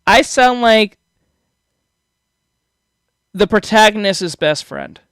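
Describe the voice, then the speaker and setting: Guy with a high boyish voice, rambly, teases himself, dead dry desk mic. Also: lively intonation, slow, a bit bright, clear, resonant tone, no echo. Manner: rambling, self-deprecating, laughs often. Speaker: reaction streamer. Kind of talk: casual reaction commentary. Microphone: desk microphone.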